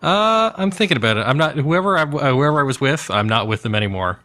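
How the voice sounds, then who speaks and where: A man's voice: medium pitch, expressive, quick pace, sharp tone. A man chatting casually as a player at a tabletop game session.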